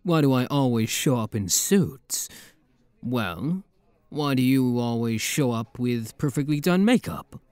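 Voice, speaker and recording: A man's voice: mid-range voice, animated, a little slow, darkish tone, clean tone, dry recording, natural voice. A man playing a playful, flirty demon prince with a teasing, theatrical manner in an intimate ASMR roleplay.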